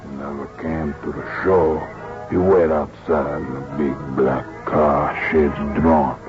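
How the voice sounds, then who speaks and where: Very deep-voiced, chatty music hall boss. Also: male voice, steady tone, takes it slowly. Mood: cagey. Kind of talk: old-time radio drama acting. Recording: dull lo-fi old radio recording, music behind.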